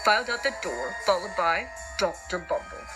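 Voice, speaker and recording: A woman's voice: on the low side, big pitch swings, normal speed. A woman reading a children's picture book aloud theatrically, with playful asides and repetition. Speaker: storyteller, podcast host. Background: music.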